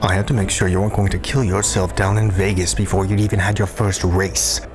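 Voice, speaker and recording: A man's voice: sits low, flat delivery, a little fast, close to an everyday voice. A man playing a smirking, controlling racing team recruiter with a dry, teasing manner in a science fiction audio drama. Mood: firm, teasing. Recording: voice over clear background music.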